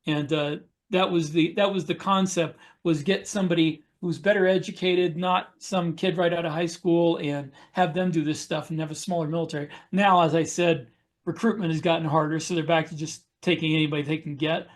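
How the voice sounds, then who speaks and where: Male podcast co-host, voice a bit high, casual explanatory delivery with pauses mid-thought. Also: fairly even intonation. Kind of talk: casual discussion of writing military science fiction.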